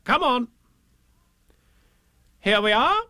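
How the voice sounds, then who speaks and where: A man voicing a bossy, overconfident rabbit from a storybook, brisk and self-important. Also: very high-pitched, sing-song, bright, forceful tone, no echo, studio quality, pitched above the performer's own voice, heavily acted.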